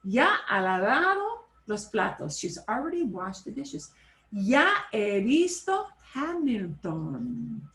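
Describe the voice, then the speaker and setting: Woman teaching spanish on a video call, flips spanish and english, says phrases over slowly, echoey room. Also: on the high side, sing-song, fairly bright tone. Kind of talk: online class instruction.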